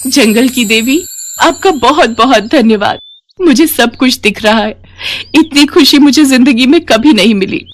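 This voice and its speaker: A woman plays a wronged young wife in a melodramatic bedtime tale. Her voice sits higher and sounds pleading and emotional, like a gentle soul pushed too far. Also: a little slow. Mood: joyful, grateful. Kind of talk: melodramatic moral-tale storytelling.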